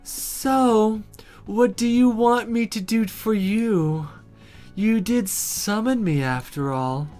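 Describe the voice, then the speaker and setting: Woman voicing a sultry, slow-drawling flirty demoness. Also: medium pitch, dark tone, faint music behind, pitched above the performer's own voice, natural voice. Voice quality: breathy. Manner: drawn-out, suggestive purring delivery.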